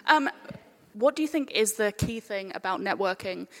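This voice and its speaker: A woman's voice: medium pitch, expressive, normal speed, fairly bright tone. A woman chairing a live panel in an informal, casual way, putting questions to the guests. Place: live event panel in a hall. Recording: echoey hall with long reverb.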